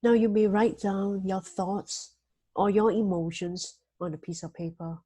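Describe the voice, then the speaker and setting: A woman hosts a motivational talk, giving weekly tips in a gentle, measured way. Her voice is mellow and slightly breathy. The sound is dry and close, with no room echo. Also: medium pitch, steady tone. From Singapore. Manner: gentle, measured, frequent "you know" fillers.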